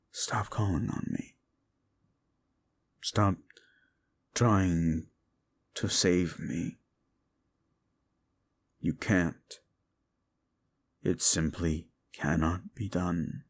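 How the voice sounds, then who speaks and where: A man voicing a bitter, ancient fallen demon who speaks scornfully to a listener in an audio drama, dropping to hushes and rising to shouts. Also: low voice, fairly even intonation, very slow, long pauses, slightly rough, exaggerated voice. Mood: cold. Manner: contemptuous, swings from hushed to shouting.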